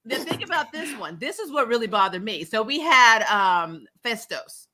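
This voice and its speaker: Animated, high-pitched woman chatting. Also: normal speed, crowd noise. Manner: animated, jokey, blunt. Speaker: host of a movie review show. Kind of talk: casual panel chat.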